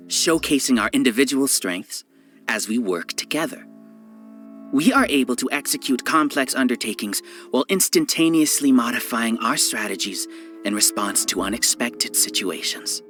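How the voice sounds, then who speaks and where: A cold, scheming scholar villain in a game who lectures in measured, condescending tones. His pitch sits mid-range but swings expressively. Faint music plays beneath him. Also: a bit bright. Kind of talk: game voice acting.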